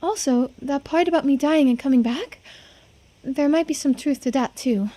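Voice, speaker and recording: Woman plays ageless, playful girl for a visual novel; high, youthful pitch, understated and slightly hesitant. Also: normal speed, mellow, dry recording, natural voice. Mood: sheepish. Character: immortal centuries-old girl who looks seventeen, coy, playful. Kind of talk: voiced visual novel playthrough.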